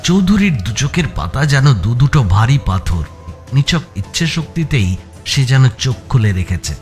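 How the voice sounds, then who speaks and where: A man playing a curious first-person narrator in a thriller audiobook, telling it with expressive suspense. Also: mid-range voice, normal speed, soft background music, close to an everyday voice. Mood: suspenseful.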